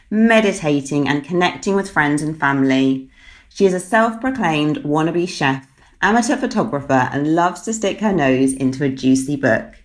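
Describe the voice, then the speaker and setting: Lower-pitched woman podcast host. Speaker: podcast host and eating disorder therapist. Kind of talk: scripted podcast intro, then casual interview.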